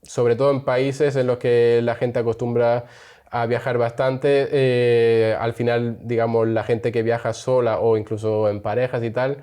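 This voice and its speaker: A man's voice: mid-range voice, level tone, a little slow, warm tone, clear, resonant tone. A young man from Spain, chatting casually as an interview guest and laughing often. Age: young adult.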